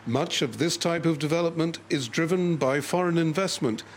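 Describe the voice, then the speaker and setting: A male correspondent narrates a scripted news report in a fairly high voice for a man. Faint ambient noise sits behind him. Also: normal speed.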